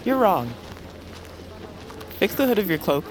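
An actress playing a defiant, bitter young outcast assassin in a dramatic, terse, menacing manner. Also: female voice, mid-range voice, very animated, measured pace, darkish tone, a little hiss or hum, rough recording. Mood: terse, guarded. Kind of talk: dramatic audio drama acting.

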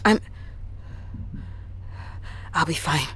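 An actress playing a troubled, guarded truck driver in an audio drama, talking haltingly and under strain. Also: female voice, crowd noise. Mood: defiant. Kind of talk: naturalistic audio drama acting.

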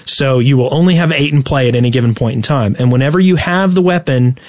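A man's voice: mid-range voice, fast, a little mellow, no echo, clean studio sound. Man explaining things on a gaming podcast. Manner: explanatory, reading out facts and adding asides. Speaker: podcast co-host. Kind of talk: casual gaming podcast talk.

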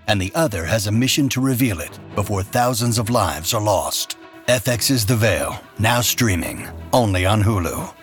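A man reading a scripted promotional voice-over as an advertisement announcer. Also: on the low side. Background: music.

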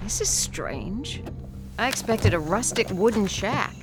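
An actress playing a precise crime lab scientist who talks crisply. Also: female voice, medium pitch, expressive, measured pace, over music, natural voice.

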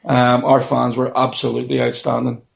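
A man hosting a sports podcast, his voice hoarse and croaky, asking questions with a few fillers. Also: mid-range voice, monotone, normal speed. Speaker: podcast host. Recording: dull lo-fi sound.

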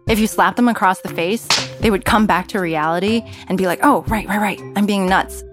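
A woman's voice: medium pitch, brisk. Female storyteller, American accent, conversational personal essay, candid and wry, music bed underneath. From San Francisco Bay Area, California. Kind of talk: conversational personal narrative commentary.

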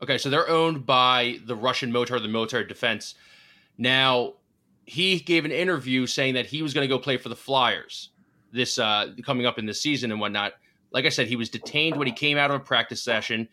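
Male host, bright voice, relaxed and rambling. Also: medium pitch, brisk. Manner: laid-back, rambling storytelling. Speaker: show host. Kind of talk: casual sports talk.

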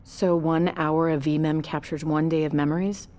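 A driven female scientist from an audio drama, played by an actress. Her voice is markedly low for a woman. She talks in blunt, demanding phrases, with faint ambient noise behind her. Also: flat delivery, moderate pace, darkish tone, lightly acted. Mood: suspicious, agitated. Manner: terse, demanding.

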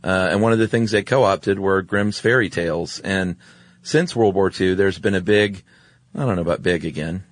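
A man's voice: low-pitched, moderate pace, no echo. An American man chatting informally as a podcast co-host, with a laid-back way of talking. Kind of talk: casual chat.

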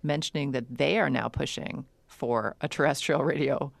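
A woman's voice: very deep voice, moderate pace, vocal fry, no room sound, clean studio sound. A woman hosting a radio show, asking guests curious questions. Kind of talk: interview questions.

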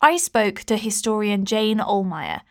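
A woman hosting a history podcast speaks in a clear, measured way. She moves from a scripted intro into calm interview questions, and her pitch sits in a middle range.

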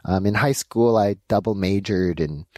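Low-voiced man with halting answers. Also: a little mellow, no room sound, studio quality. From Los Angeles, United States. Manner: halting, many ums and uhs. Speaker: animation director. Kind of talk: interview answers.

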